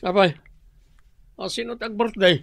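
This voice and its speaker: A man's voice: high-pitched, steady tone, unhurried, gravelly, fairly bright tone, slight background noise, full character voice. A man acting a boozy, teasing village drinking buddy in an Ilocano radio comedy-drama, bantering with an Ilocano accent. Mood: tipsy, puzzled. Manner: tipsy, bantering.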